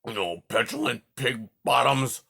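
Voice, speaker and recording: A man's voice: medium pitch, takes it slowly, gravelly, pushed and bright, heavily acted. A man voicing a grumpy old male porcupine who huffs, sputters and swears in silly made-up oaths. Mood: muttering.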